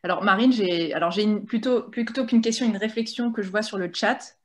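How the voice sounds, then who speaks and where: A woman's voice: medium pitch, a little fast. A woman journalist moderating an online panel discussion, friendly and reading out audience questions from the chat.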